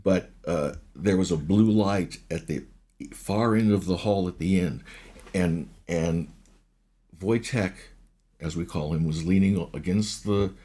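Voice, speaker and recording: A man in his sixties with a raspy voice, a guest in a casual interview who explains things at length and often restarts his sentences. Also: low voice, measured pace, dark tone. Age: 60s. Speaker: guest, ghost hunter and recording engineer.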